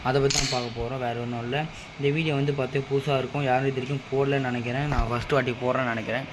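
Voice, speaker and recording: Guy talking fast, casual gaming how-to, keeps insisting, loads of noise and clicks. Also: mid-range voice, flat delivery. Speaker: gaming video creator. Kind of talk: casual game tutorial commentary. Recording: very noisy home recording with clicks.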